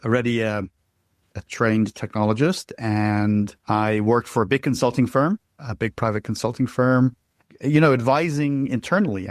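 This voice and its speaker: A man's voice: lowish voice, no room sound, clean studio sound. A middle-aged man from Ireland with an Irish accent, giving a rambling interview answer with frequent restarts and fillers. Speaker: guest.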